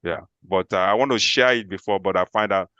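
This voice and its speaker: A man's voice: low voice, brisk, pushed and bright, no room sound, muffled recording. A man speaking as an insurance agent in an online meeting, explaining informally and restating his points. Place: remote online group call.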